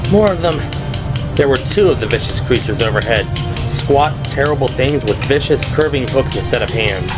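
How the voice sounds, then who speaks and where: A man's voice: mid-range voice, poor audio. A man reading dramatic, scripted horror narration for an audio drama, with music and effects behind him. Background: music and sound effects.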